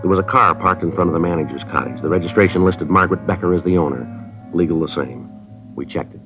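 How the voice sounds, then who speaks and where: An actor playing a terse police sergeant who questions witnesses and narrates the case in a clipped, matter-of-fact way, in a 1950s radio drama. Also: male voice, low-pitched, fast, a bit bright, soft background music. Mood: reporting. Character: terse police sergeant, middle-aged man, dry and businesslike. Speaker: detective and narrator. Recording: dull lo-fi old radio recording.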